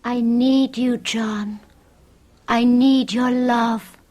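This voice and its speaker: An actress playing a seductive, mysterious woman in a radio drama, speaking slowly in a breathy, hushed, entreating way. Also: on the high side, steady tone. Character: seductive mysterious woman calling a lover back to a past life. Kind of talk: 1970s radio drama acting.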